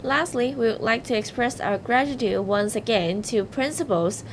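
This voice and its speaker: A woman co-presenting a results ceremony with a scripted, formal announcement. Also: moderate pace. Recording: noisy recording with low rumble.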